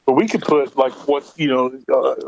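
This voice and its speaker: A man with a mid-pitched voice speaks in casual chat. The sound is dry and somewhat dull, with the top end rolled off. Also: normal speed, vocal fry, darkish tone, dry recording.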